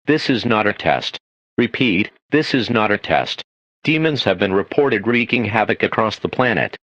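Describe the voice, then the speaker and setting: A man with a fairly deep voice reads a formal emergency broadcast. The sound is very dry and slightly dull, like lo-fi audio. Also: expressive, normal speed, a bit bright, no room sound. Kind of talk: scripted emergency alert announcement.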